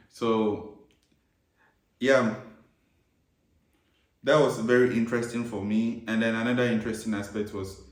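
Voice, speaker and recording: A man's voice: fairly low pitch, roomy sound. A man born and raised in Ghana, chatting casually with his wife as co-host of their travel vlog, rambling with restarts and laughing. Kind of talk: casual couple chat.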